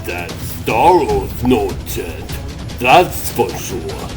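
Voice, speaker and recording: A man playing a gruff, brawny pig superhero in a comic dub. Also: lowish voice, very animated, very slow, over music, heavily acted. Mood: grumbling.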